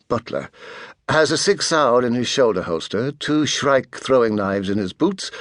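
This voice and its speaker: A man voicing a pale, testy adolescent mastermind in a soft, clipped voice, speaking formally and with authority in an audiobook. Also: medium pitch, no echo, studio quality. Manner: clipped, precise, formal speech.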